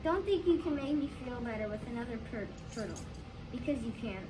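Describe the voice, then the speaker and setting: Woman playing upset high-voiced boy, noisy far mic. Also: animated, a little fast, natural voice. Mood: stubborn, upset. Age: child or young. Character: upset, stubborn young boy who lost his pet turtle. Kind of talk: school readers theater reading. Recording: distant mic, loud background noise.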